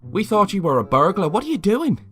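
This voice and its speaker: A suspicious male neighbourhood patrolman, acted out by a man reading a story, pitched well above a deep narrator. He is bossy, challenging people and firing questions, with light music behind. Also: very animated, brisk, fairly bright tone. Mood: alarmed.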